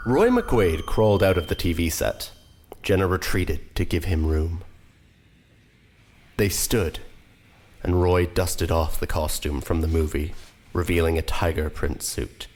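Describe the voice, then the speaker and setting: Slow, low-voiced male drama narrator. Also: a little mellow, slight background noise. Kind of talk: scripted audio drama narration.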